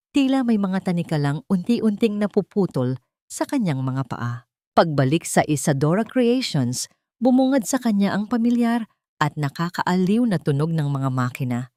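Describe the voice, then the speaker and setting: A woman's voice: low voice, mellow, no room sound, clean studio sound. A woman reading a dramatic audiobook story as a scripted narrator.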